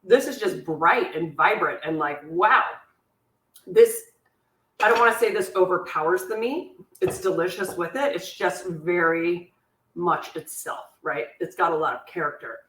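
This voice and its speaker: Chatty woman hosting a cooking class. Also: a little slow. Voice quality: squeaky. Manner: chatty, warm-hearted asides, laughs at herself. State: sore back, in discomfort. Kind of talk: live online cooking class, answering viewer questions. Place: home kitchen. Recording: echoey kitchen, voice from a distance. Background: clinking cutlery and dishes.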